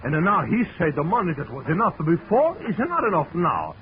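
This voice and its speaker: An excitable Italian circus owner in an old radio play. His voice swings up and down as he dotes effusively in broken English. The recording is dull and noisy. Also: high voice, a little fast. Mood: agitated, proud. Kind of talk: 1940s radio acting.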